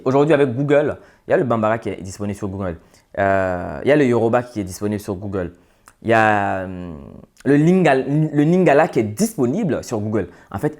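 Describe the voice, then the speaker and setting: A man's voice: lowish voice, a little mellow. A man from Côte d'Ivoire, giving an earnest, advising monologue to his viewers, with hesitant fillers. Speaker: vlogger. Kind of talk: opinion monologue to camera.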